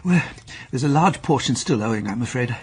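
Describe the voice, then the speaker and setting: An actor in a period radio drama playing a timid, doting old husband, anxious, hesitant and stammering. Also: male voice, mid-range voice, animated, fairly quick, a little mellow, breathy edge. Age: older.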